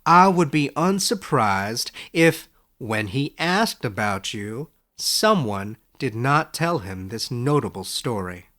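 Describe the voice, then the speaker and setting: A man playing a skeptical but kindly professor in a storybook, with a dry, measured, gently lecturing manner. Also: medium pitch, very animated. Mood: dry, reasoning. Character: wry, skeptical, kindly male professor, unhurried and quick-witted. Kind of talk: storybook character voice in audiobook narration.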